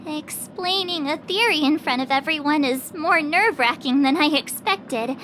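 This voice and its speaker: A woman's voice: sits high, moderate pace, a bit bright, a little hiss or hum. A woman voicing a bubbly, self-important teenage girl playing detective, in anime-style video game acting. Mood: eager. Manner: perky, theatrical, self-important.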